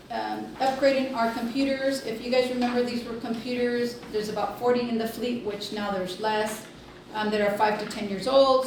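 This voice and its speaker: A woman giving an informal report at a board meeting, speaking haltingly with many pauses. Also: fairly high pitch. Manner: hesitant, halting delivery with long pauses. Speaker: healthcare district executive reporting to board. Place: indoor public meeting room. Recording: echoey room, distant mic, faint noise.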